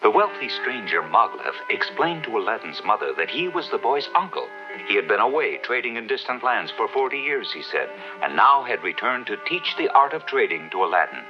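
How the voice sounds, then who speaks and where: A man's voice: medium pitch, big pitch swings, fairly quick, lightly acted. A man narrating a fairy tale as the scripted storyteller of a 1970s cartoon. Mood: storytelling. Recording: dull old TV soundtrack, music behind.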